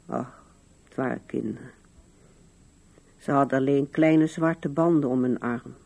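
A woman's voice: extremely low pitch, measured pace, darkish tone, heavily acted. An older actress playing an old widow in a radio drama, musing to herself with resignation at her husband's grave. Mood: wistful. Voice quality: husky, creaky. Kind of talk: 1970s radio drama monologue.

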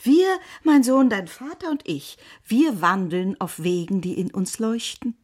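A calm, husky mother. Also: mid-range voice, a little slow. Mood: firm. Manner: gentle, soothing, whispering at times. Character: calm, stiff mother soothing her son. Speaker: actor. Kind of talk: radio play acting.

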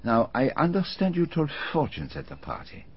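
An actor playing a polite older detective questioning witnesses in a radio drama, with formal, probing phrasing. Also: male voice, fairly high pitch, wildly swooping pitch, normal speed, breathy edge, exaggerated voice. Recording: dull lo-fi radio drama recording.

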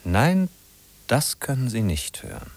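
A man's voice: medium pitch, wildly swooping pitch, unhurried, creaky, heavily acted. A man acting as the narrator of a 1950s German radio drama, an urgent, musing guide who addresses the listener with emphatic rhetorical questions. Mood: teasing, conspiratorial. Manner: rhetorical, emphatic, questioning then answering himself.

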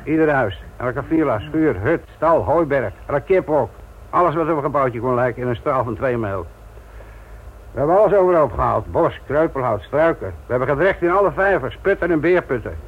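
An actor playing a gruff, sardonic senior police detective lecturing trainees in a radio play. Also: male voice, mid-range voice, animated, normal speed. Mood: sarcastic, stern. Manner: sarcastic, authoritative, lecturing. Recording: muffled, noisy old radio play recording.

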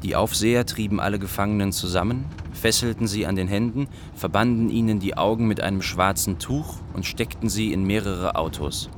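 A man acts a sober chronicler of wartime events in a radio play. He speaks factually in a lowish voice, with faint traffic ambience behind him. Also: a little fast, few pauses, close to an everyday voice. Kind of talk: scripted radio drama narration.

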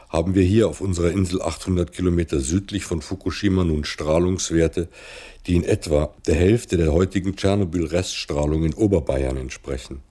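A man narrating a radio play as a concerned islander reporting on life after a nuclear disaster, reflective and husky. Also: sits low, mellow.

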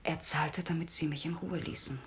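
Young female radio-drama role actress: deep for a woman, terse, restrained, loyal and proud. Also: steady tone, moderate pace, background noise, lightly acted. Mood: subdued, guarded. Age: young adult. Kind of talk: German radio crime drama acting.

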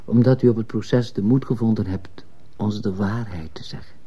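An actor playing a mild, probing priest in a radio play, gentle and wry, with a dark, husky voice. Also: male voice, on the low side, moderate pace. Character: mild, probing male priest in plain clothes. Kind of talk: Dutch radio play acting.

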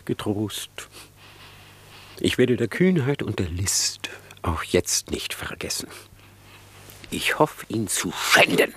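A raspy, cunning fox. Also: male voice, fairly low pitch, animated, slow pace, pauses often, fairly bright tone, in a whisper, exaggerated voice. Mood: sly, confident. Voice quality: raspy, breathy. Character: cunning, bold, gloating male fox in combat. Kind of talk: German radio play fable acting.

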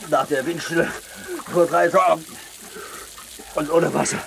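An actor playing a parched, talkative castaway sailor, exhausted and gasping, in a radio play. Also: male voice, on the high side, fairly even intonation, unhurried, creaky, a bit bright. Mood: drained, relieved. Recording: noisy radio play, watery hissing behind.

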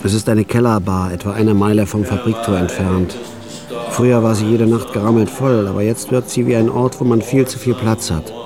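An actor playing a tired, cynical night clerk who narrates in a dry, wry way in a radio drama. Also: male voice, on the low side, steady tone, brisk, close to an everyday voice. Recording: music bed under the voice.

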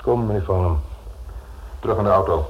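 Actor as a stern police inspector, muffled old radio. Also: male voice, low voice, fairly quick, a little hiss or hum, lightly acted. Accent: Netherlands Dutch. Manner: formal, commanding, faintly sarcastic. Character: stern, dryly sardonic male police inspector. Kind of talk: radio drama acting. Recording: muffled, narrow-band old radio recording.

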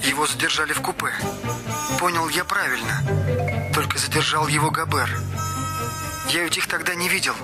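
A man's voice: on the high side, normal speed, pauses often, close to an everyday voice. An actor playing an eager young man in a radio play, earnestly recounting what he saw to a police inspector, with music behind. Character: eager young male witness recounting events.

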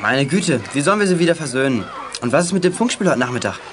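Fast, lively teenage boy. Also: on the high side, background noise. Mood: soothing, teasing. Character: easygoing, conciliatory teenage boy. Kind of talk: children's audio drama acting.

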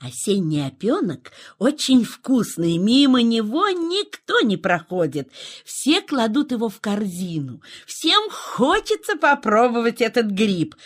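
Husky, animated motherly forest animal. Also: fairly high pitch, measured pace. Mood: patient, instructive. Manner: lively, expressive, instructive. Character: knowing, bossy motherly forest animal: aunt magpie, mother fox. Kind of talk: children's audio play acting.

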